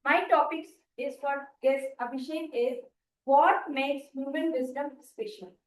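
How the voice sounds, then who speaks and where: A woman leading the impromptu speaking segment at a club meeting, briskly encouraging people and calling on the next speaker. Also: sits high, distant mic, a little hiss or hum. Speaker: table topics master. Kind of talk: meeting hosting. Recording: roomy sound from off mic.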